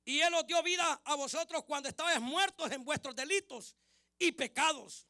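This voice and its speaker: A pastor from Honduras preaching with a high, bright voice that cuts through. He stresses and repeats key phrases, and the sound is dry and close. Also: moderate pace, dry recording. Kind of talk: preaching sermon in Spanish.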